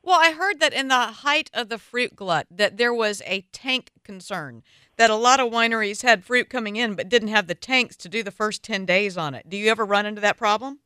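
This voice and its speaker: A woman's voice: mid-range voice, normal speed, sharp tone, clear, resonant tone, no echo, studio quality. A woman hosting a radio talk show, asking questions in a playful, self-deprecating way. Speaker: radio host. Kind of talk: casual radio interview.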